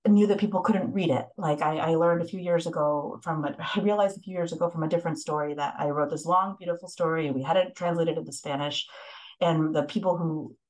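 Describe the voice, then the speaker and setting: A woman with a notably low voice talks through her reporting work as an interview guest. She tells it in quick, animated bursts and restarts her phrases often. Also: rough recording. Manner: fast, animated storytelling with many restarts. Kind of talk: casual interview talk.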